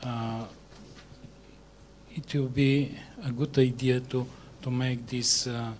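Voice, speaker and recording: A man speaking as a panelist, halting and filling pauses while he makes his point. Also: mid-range voice, flat delivery, a little hiss or hum. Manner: halting, many fillers. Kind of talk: panel discussion remarks.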